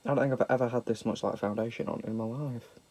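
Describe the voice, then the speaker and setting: A man's voice: mid-range voice, fairly even intonation, fast, a little mellow. A young British man with a slightly nasal voice from a sniffle, chatting casually and self-mockingly through a makeup tutorial. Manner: sweary, camp and self-mocking. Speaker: makeup vlogger.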